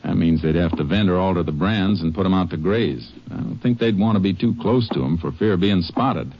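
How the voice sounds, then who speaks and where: A man acting a calm, methodical Texas Ranger in a 1950s radio drama, terse and matter-of-fact. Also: sits low, fairly quick, breathy edge. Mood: businesslike. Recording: muffled, noisy old radio recording.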